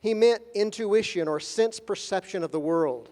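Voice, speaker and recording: A man giving a formal conference lecture in measured, deliberate phrases with gentle humor, pausing for an interpreter. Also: high-pitched. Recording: long hall reverb.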